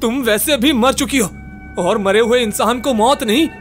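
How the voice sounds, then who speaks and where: A man's voice: very high-pitched, moderate pace. A man playing a bold, exasperated ghost-case solver in a horror-comedy audio drama, blunt and insistent, mixing in English. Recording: music bed behind.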